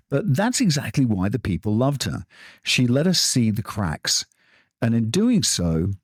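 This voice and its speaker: A man hosting a podcast, telling a story in a dramatic way with pauses for effect before he interviews his guest. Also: on the low side, dry recording, clean studio sound. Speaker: podcast host. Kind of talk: scripted podcast monologue, then interviewing.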